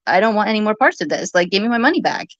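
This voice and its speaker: A woman's voice: medium pitch, very animated, very fast, no room sound. A woman in a heated, informal argument, talking in an accusatory, rambling way and sometimes swearing. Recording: muffled lo-fi audio.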